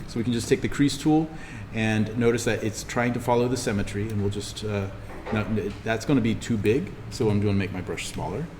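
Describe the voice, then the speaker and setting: A man giving an informal in-class software tutorial as the instructor, thinking aloud haltingly as he demonstrates. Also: on the low side. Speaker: college instructor. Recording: reverberant room, clearly noisy background.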